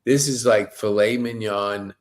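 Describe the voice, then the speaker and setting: Slow-paced male podcast host, low voice. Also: steady tone. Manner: effusive, rambling, stop-and-start questions. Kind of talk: casual conversational interview.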